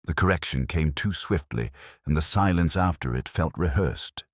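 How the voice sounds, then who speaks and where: A man reading a scripted first-person detective story in a measured, literary, wry manner. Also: extremely low pitch, animated, no room sound, studio quality. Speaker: narrator.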